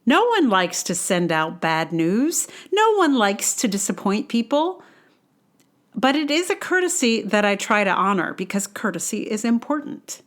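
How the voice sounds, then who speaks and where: A woman hosting her own podcast, sharing personal reflections in a conversational, wry and self-deprecating way. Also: mid-range voice. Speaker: podcast host and audio drama producer. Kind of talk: personal essay read aloud, then casual aside.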